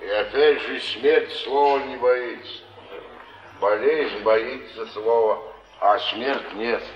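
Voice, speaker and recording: A man's voice: medium pitch, takes it slowly, lightly acted. An actor playing a blunt, fatalistic flophouse man with an indifferent, phlegmatic manner in a 1950s Soviet stage drama. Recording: dull, echoey theatre recording.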